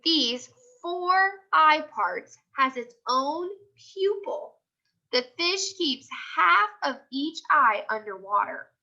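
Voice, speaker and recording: A woman's voice: high voice, pushed and bright, muffled recording. An American woman teacher reading a picture book aloud to children, explaining simply and repeating key points. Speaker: elementary school teacher.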